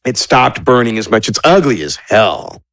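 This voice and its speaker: A man's voice: fairly low pitch, very animated, moderate pace, fairly bright tone. A man narrating a tabletop game as game master, improvising descriptions in an informal way.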